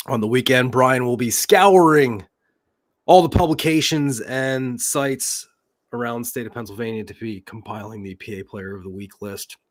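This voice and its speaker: A young man co-hosting a live high school football show, talking in a loose, rambling way with many fillers and losing his voice. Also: moderate pace. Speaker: co-host. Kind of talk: live sports show chat.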